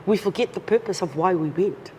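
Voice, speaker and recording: A woman's voice: fairly low pitch, fairly quick, mellow. A woman guest talking earnestly and carefully in an interview. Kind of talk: casual interview talk. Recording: long reverb, faint noise.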